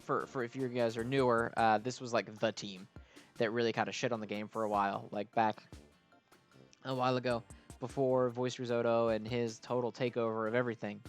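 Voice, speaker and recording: A man's voice: medium pitch, fairly even intonation, brisk, soft background music. A man giving casual, unscripted commentary as he plays and reviews a video game, rambling and gushing like a fan, with self-deprecating asides.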